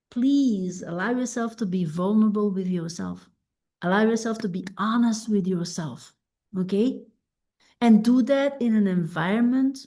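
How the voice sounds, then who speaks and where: Dutch-native woman coach, slow and thoughtful, kinda high voice, keeps asking "right?". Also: mellow. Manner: unhurried, reflective, often asks "right?". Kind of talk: teaching a self-help masterclass.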